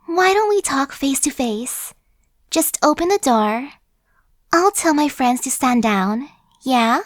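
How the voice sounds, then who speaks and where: A woman's voice: sits high, expressive, slow pace, dry recording, clean studio sound. A woman voicing a chatty, friendly female zombie who coaxes and persuades in a casual, sly way, in an ASMR roleplay. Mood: persuasive.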